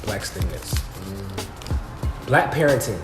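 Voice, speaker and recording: A young male host leading a relaxed panel talk, his voice bouncing around an echoey room. He speaks loosely, full of "like" and "um," and reacts lively to his guests. Music plays clearly behind him. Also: on the low side, slow. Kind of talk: casual panel discussion.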